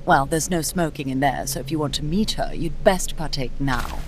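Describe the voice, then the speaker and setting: A woman's voice: deep voice, moderate pace, background noise. An actress playing a bitter, obsessive woman scientist in a video game cutscene, accusing, theatrical and sardonic. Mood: sly, cold. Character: bitter, obsessive female scientist haunted by lost love. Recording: music under the voice.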